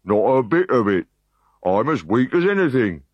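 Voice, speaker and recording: A man voicing a big, good-natured Gaul who talks slowly and ponderously. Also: sits low, expressive, no echo, lo-fi sound. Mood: pleading. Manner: slow, ponderous, pleading.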